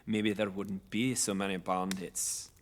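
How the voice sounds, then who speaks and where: An actor playing a wry, plain-spoken farmer with a dry, sardonic manner in a fantasy audio drama. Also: male voice, low voice, flat delivery, unhurried, slight background noise.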